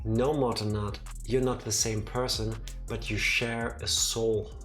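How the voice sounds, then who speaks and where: Playful, teasing game streamer, casual live commentary, medium pitch, music underneath. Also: flat delivery, measured pace, fairly bright tone. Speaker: streamer playing and reading out a game. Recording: music behind the voice.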